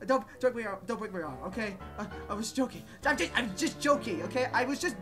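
Woman as high-voiced silly hapless henchman. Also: animated, quick pace, close to an everyday voice. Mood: pleading, polite. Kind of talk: improvised comedic game fandub. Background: game music.

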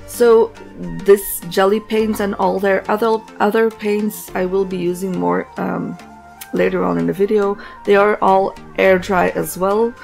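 A woman hosting a nail art tutorial, hoarse and raspy from a cold, narrating in a relaxed, chatty way with plenty of fillers. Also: medium pitch, measured pace, faint music behind. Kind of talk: casual voice-over tutorial narration.

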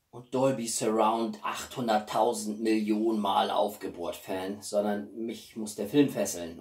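A man talks casually to the camera like a home film reviewer. His delivery is colloquial, opinionated and emphatic, at a middling pitch. The microphone sits some way off, so the room's echo is clearly heard around his voice. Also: a little mellow. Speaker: YouTube film reviewer and channel host. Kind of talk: casual chat to camera about a film collection. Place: small room at home, indoors. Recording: roomy, distant microphone.

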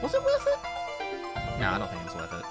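Young male game streamer, wry and sweary, thinks aloud, animated pitch, loud music underneath. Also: normal speed. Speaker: streamer, speedrunner. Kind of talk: casual live gameplay commentary. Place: indoors, at a desk with webcam. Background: video game music.

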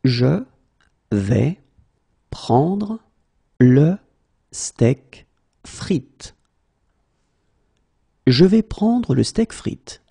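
A man who is a native French teacher, slowly drilling short phrases, saying each one whole and then word by word. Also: fairly high pitch, very animated, creaky, mellow, husky. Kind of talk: slow phrase-by-phrase language drill.

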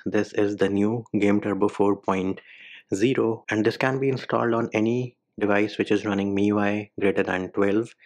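A man hosting a phone tutorial voice-over, giving informal step-by-step instructions in short clipped phrases. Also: fairly low pitch. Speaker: tech tutorial video host.

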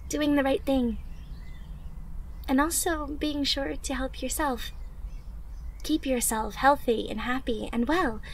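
A young woman playing a caring, encouraging teenage superhero in training, speaking gently and earnestly in an anime-inspired ASMR roleplay. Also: on the high side. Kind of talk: ASMR roleplay, acted monologue to listener. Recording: noisy ambience with birdsong. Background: birdsong, outdoor ambience.

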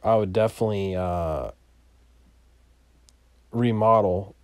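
A man giving a casual, unscripted property walkthrough as a landlord, in a matter-of-fact, halting way that trails off. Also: on the low side, no room sound. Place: inside an empty mobile home, starting on the porch.

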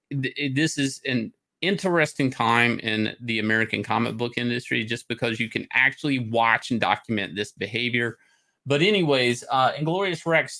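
Male stream host, mid-range voice, off-the-cuff and opinionated, halting but emphatic delivery. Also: mid-range voice, bright, forceful tone. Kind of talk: casual opinionated rant on a livestream. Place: indoor room, livestream on webcam.

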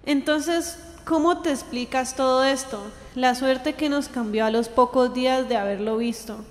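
A young woman playing an earnest, anguished sister in an amateur radio drama, with a halting, plaintive delivery. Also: sits high. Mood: troubled, insistent. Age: young adult. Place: indoor green-screen studio. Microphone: handheld dynamic microphone shared close up. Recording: reverberant hall-like echo.

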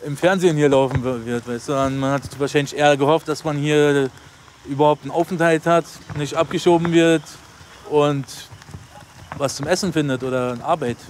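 A young man and rapper talking casually in an outdoor interview, relaxed and conversational. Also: on the high side, normal speed, slight background noise. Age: young adult. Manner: relaxed, conversational, says "weißt du" often. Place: outdoors in an urban garden.

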